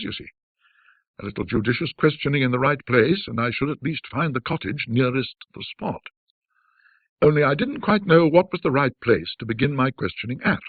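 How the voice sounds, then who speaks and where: Guy reading an old ghost story, raspy voice, very expressive, theatrical pauses, close dry recording. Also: mid-range voice, no room sound, narrow, tinny audio. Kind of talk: classic literary ghost story narration.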